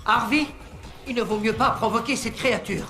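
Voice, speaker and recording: A woman's voice: a little high, moderate pace, pushed and bright. A woman voicing an indignant, protective parent in a cartoon, stern, formal and flustered. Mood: cautious. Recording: music underneath.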